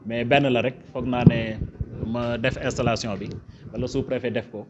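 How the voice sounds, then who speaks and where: Senegalese official, dull lo-fi sound. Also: male voice, mid-range voice, little pitch movement, normal speed, noisy background. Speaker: government official, prefect. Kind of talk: formal official ceremony speech. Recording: dull, lo-fi event recording.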